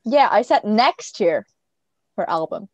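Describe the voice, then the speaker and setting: A woman's voice: mid-range voice, expressive, dry recording. A teenage girl in casual, teasing chat with a friend, giggling often. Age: teens. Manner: giggly, teasing, quick banter. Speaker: co-host.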